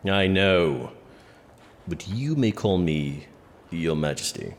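Self-important medieval king, very low voice, expressive, imperious, greedy, audio drama. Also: slow, exaggerated voice. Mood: grave, self-important. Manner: pompous, leisurely, imperious. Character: pompous, gluttonous, vain medieval king. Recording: long hall reverb, faint ambient noise.

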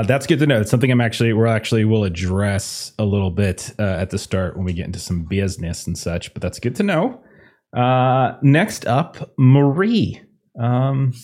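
A man's voice: lowish voice, moderate pace, a little mellow. A man hosting and running a tabletop roleplaying game, chatting loosely with many fillers and restarts. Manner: rambling, many fillers, laughs at own jokes. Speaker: game master. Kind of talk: casual chat opening a tabletop game stream.

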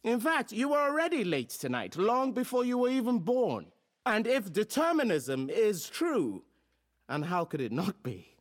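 A forceful philosophy professor, super emphatic and blunt, voice goes all over, audio drama. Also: medium pitch, big pitch swings, unhurried. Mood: grandly assured. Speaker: actor playing a professor.

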